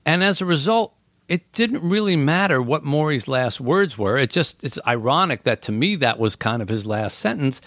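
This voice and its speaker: A middle-aged man speaks with earnest warmth of thought, pausing on "you know" as he goes. His voice sits in an ordinary middle register. The recording is dry and close. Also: medium pitch, lively intonation, no room sound. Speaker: podcast guest. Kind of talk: reflective casual conversation.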